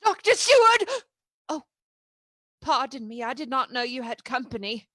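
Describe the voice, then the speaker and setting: A woman's voice: high voice, very animated, normal speed, sharp tone, no room sound, studio quality, heavily acted. A woman playing an agitated but courteous male asylum patient in a radio play, speaking in a formal, urgent manner. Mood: frantic, pleading.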